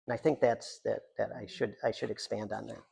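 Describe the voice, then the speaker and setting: A man's voice: on the low side, little pitch movement, brisk, creaky, darkish tone, husky. A man, a writer, reading his own work aloud at a live literary reading, with rambling, self-deprecating, jokey asides.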